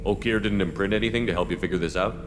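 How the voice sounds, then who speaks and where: An actor playing a firm, direct human military commander in video game dialogue, no-nonsense in manner. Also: male voice, sits low, racing, natural voice. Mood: businesslike. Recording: clear ambient noise behind.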